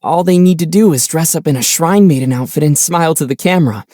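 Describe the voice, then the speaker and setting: A man voicing a calm young adventurer in a video game, easygoing and measured, with a gently wry, teasing manner. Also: a little high, dry recording, clean studio sound, close to an everyday voice. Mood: casual. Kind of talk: video game character dialogue.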